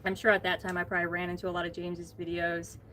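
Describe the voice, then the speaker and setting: Relaxed American woman co-hosting a chat. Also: lowish voice, very fast, a little hiss or hum. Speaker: co-host. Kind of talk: casual live chat.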